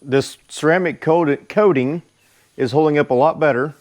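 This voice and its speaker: A man giving a casual product review as a motorcycle vlogger, speaking informally and haltingly. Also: a little high, darkish tone. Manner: informal, halting, unhurried delivery.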